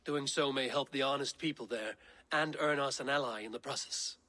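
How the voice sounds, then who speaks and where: Husky, steady Viking raider. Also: male voice, mid-range voice, brisk, dry recording. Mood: measured, purposeful. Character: gruff, steady Viking raider, loyal and plainspoken. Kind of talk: video game cutscene dialogue.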